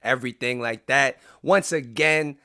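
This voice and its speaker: A man talking through video-game commentary in a casual, chatty way, sounding raspy because he is under the weather. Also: mid-range voice, a little slow, bright, forceful tone. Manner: casual and chatty, with frequent "um" fillers. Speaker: YouTuber. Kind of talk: gaming commentary.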